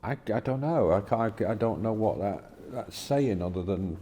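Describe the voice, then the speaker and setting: Really deep voiced guy on a podcast, soft dark tone, kind of musing, big echoey room. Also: lively intonation, slight background noise. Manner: halting, tentative musing. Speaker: podcast co-host. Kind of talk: scripture reading and informal discussion. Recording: reverberant room with long echo.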